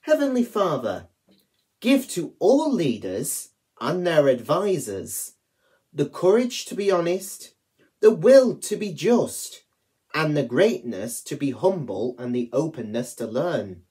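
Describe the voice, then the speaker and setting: Young male, prayer reader at online worship. Unhurried, deliberate phrasing, very varied intonation. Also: a little high, very animated, darkish tone. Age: young adult. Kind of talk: reading prayers aloud. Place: small attic room at home.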